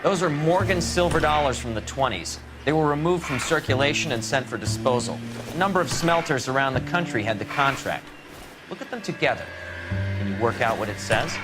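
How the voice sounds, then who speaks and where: Lively male police evidence technician, played by an actor. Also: mid-range voice, fairly quick, over music, lightly acted. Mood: helpful, informative. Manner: explanatory. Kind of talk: video game dialogue.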